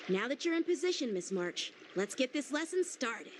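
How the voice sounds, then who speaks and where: A woman's voice: high voice, sing-song, moderate pace, slight background noise. A woman playing an earnest, courteous young sword master in an anime game, polite and instructive.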